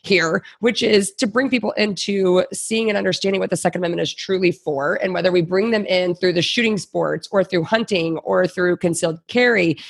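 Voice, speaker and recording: A female interview host with a gravelly, slightly raspy voice. She speaks in an upbeat, encouraging way, drawing her guest out. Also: lowish voice. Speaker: podcast host. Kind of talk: conversational interview.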